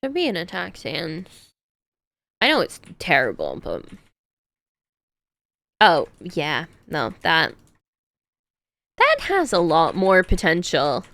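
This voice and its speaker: A woman's voice: fairly low pitch, wildly swooping pitch, slow, creaky, pauses often, fairly bright tone, no room sound. A woman streamer chatting casually on a livestream, thinking aloud about game builds and laughing often.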